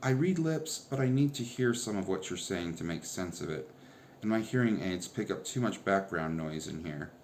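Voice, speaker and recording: A man's voice: sits low, animated, normal speed, slight background noise. A man voicing a reserved teenage girl in a storybook reading, speaking tersely and matter-of-fact. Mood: weary. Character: reserved teen girl, flat and withdrawn.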